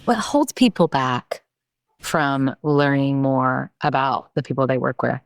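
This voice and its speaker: A woman hosting a podcast, asking thoughtful, measured interview questions. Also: a bass voice, vocal fry, clean tone, no echo. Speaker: podcast host. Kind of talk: conversational interview.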